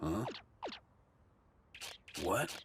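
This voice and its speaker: An actor playing a hot-headed, dim-witted teenage delinquent in an anime dub, brash and swaggering. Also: male voice, extremely slow, background noise. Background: music.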